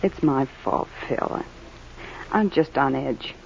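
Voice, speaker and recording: An actress playing a tense, evasive, troubled wife speaking haltingly in an old radio drama. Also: female voice, very deep voice, vocal fry, darkish tone, exaggerated voice. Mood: on edge, evasive. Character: edgy, secretive, troubled wife. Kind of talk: 1950s radio drama acting. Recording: muffled old radio, faint background noise.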